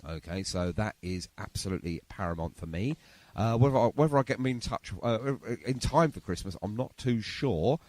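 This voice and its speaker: Animated, low-voiced British man hosting. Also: normal speed. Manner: chatty, informal. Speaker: podcast host. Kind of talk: casual interview chat.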